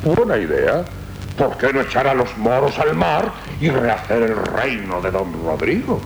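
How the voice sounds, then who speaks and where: Older proud count, Castilian accent, sarcastic, courtly, highly varied intonation, vintage radio drama. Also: male voice, big pitch swings, unhurried, vocal fry. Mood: scornful, mocking. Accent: Castilian Spanish. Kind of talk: 1970s radio drama acting. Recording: noisy 1970s radio recording with rumble.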